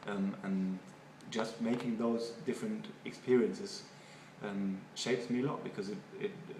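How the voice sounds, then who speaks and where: A man from Germany with a German accent, a native German speaker, giving halting, thoughtful interview answers and often repeating words. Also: lowish voice, steady tone, darkish tone. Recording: echoey room, far from mic, noisy.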